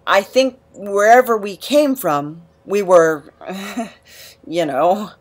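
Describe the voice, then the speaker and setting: A woman playing an awkward, emotional misfit in an audio drama, hesitant and stammering, quick to put themselves down. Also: medium pitch, animated. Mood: nervous, sheepish. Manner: hesitant, stammering, self-deprecating. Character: awkward, emotional, rambling misfit named Nap.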